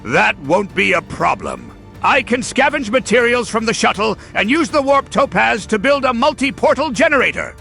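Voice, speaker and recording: A man voicing a pompous, megalomaniac mad genius villain in a theatrical comic dub, gravelly and forceful. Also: very high voice, sing-song, normal speed, soft background music, heavily acted. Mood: scheming.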